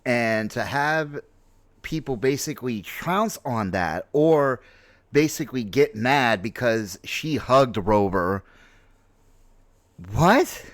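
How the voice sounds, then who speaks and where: A man in his late forties giving casual, unscripted opinion commentary on a game, halting but emphatic, repeating phrases for stress. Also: mid-range voice, animated, no echo. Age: late 40s. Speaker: gaming video host and streamer.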